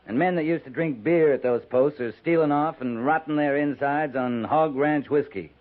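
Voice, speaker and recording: An American man playing a calm, wry army captain with a dry, courteous, needling manner in a 1950s radio drama. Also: on the high side, moderate pace, close to an everyday voice. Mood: wry, pointed. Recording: tinny, narrow-band old radio recording.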